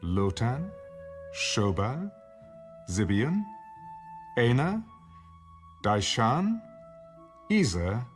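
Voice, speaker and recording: Guy reading the bible like an audiobook, really slow, lots of rise and fall in his voice, solemn. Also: medium pitch, big pitch swings, faint music behind. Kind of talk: scripted scripture reading.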